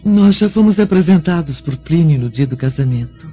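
A woman's voice: deep voice, expressive, normal speed, mellow. An actress playing a polite, reserved Roman noble lady in a radio drama, formal and hesitant. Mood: polite, puzzled. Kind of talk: theatrical radio drama acting. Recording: muffled lo-fi radio drama with music.

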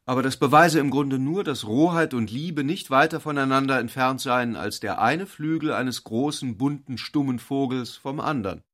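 A man reading literary narration for a radio drama in a measured, articulate way. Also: mid-range voice, fairly bright tone, dry recording, studio quality.

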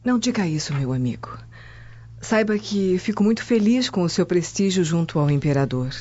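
Low-voiced, gracious Roman matron. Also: female voice, animated, moderate pace, darkish tone. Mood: polite, uneasy. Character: gracious, reserved Roman married noblewoman. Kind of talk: radio soap acting. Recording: dull lo-fi, music behind.

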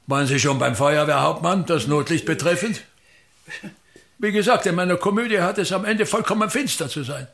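An actor playing a pompous aging state actor in a radio play, declaiming and grumbling bitterly, swinging between mutters and outbursts. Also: male voice, fairly high pitch, brisk, vocal fry, a bit bright. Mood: imperious. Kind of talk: theatrical radio-play monologue.

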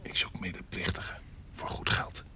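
An actor playing a scheming, lecherous courtier in an audio period drama, insinuating and savouring his words, often dropping to hushed tones. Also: normal speed, in a whisper. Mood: conspiratorial. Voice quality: deep, gravelly. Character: scheming, lecherous male courtier and secret conspirator. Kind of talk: audio drama acting in Dutch period piece.

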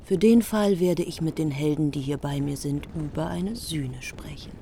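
An actress playing a cold, bitter, vengeful queen in a German radio drama, speaking in a measured, commanding way. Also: deep voice, background noise. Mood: cold, calculating.

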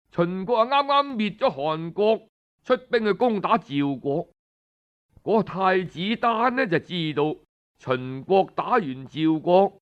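Male Cantonese storyteller for historical audiobook; high voice, unhurried delivery, conversational oral style. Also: a bit bright. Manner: conversational oral telling with frequent sentence-ending particles. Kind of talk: traditional Cantonese storytelling narration of historical tales.

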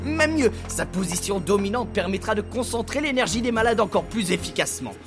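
An obsequious cult priest in an audio drama. His voice is high for a man, reverent and formally ceremonious. Faint music runs underneath. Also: expressive, a little fast. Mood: deferential, formal. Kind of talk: French audio drama acting.

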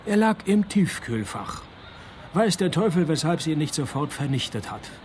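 An actor playing a wry, cynical private detective who narrates a parody noir radio play in a laconic, deadpan way. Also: male voice, a little high, moderate pace, slight background noise. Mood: confronting.

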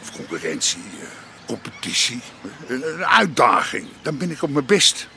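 An actor playing a boastful, middle-aged old-style underworld boss in a radio drama. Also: male voice, medium pitch, sing-song, moderate pace, creaky, noisy background. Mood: boastful, probing. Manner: swaggering, groping for words. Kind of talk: naturalistic radio drama dialogue.

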